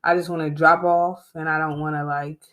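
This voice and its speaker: Animated young woman podcast co-host. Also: low voice, a little fast. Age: 20s. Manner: animated, addresses listeners as "y'all", laughs often. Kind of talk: casual chat.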